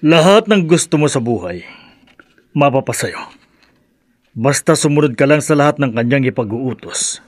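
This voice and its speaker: A man's voice: mid-range voice, expressive, moderate pace, pauses often, no room sound, clean studio sound, close to an everyday voice. An actor playing the persuasive henchman of a sinister master in a horror radio drama, formal, assured and coaxing.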